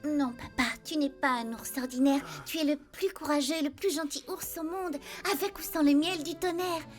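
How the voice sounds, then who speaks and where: A woman voicing an affectionate, trusting young bear daughter in an animated film. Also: high voice, normal speed, soft background music, lightly acted. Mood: tender, reassuring.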